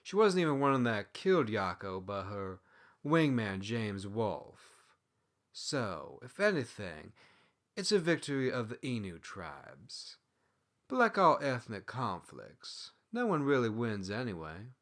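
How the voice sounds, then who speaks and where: A man's voice: lowish voice, sing-song, takes it slowly, a bit bright, full character voice. A man voicing an outspoken, opinionated female tanuki servant in a storybook, arguing with emphasis. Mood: matter-of-fact.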